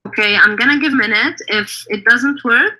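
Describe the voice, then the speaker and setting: A woman with a Lebanese accent leads an online tech session. Her voice sits on the high side. She presents informally, speaking directly to the people watching the stream. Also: moderate pace, sharp tone, poor audio. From North Lebanon.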